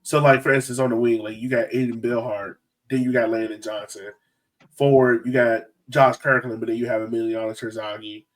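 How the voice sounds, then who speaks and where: A man co-hosting a soccer fan podcast, chatting casually in a playful, animated, slangy way. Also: mid-range voice, a little fast, far from the mic. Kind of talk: casual sports chat.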